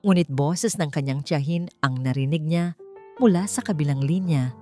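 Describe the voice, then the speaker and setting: Low-pitched woman narrating a romance story. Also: moderate pace, dark tone, faint music behind. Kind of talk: dramatic scripted romance narration.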